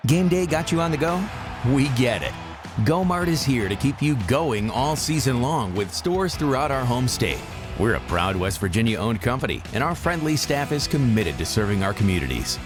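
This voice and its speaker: A man's voice: medium pitch, expressive, normal speed. A man reading a scripted, upbeat commercial as an announcer. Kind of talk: scripted upbeat radio-style ad read. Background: guitar music.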